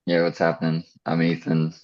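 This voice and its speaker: A man's voice: sits low, completely flat delivery, moderate pace, a little mellow. A young man on a video call, just woken up, talking in a laid-back, mumbled way. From Western Australia. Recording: dull lo-fi call audio.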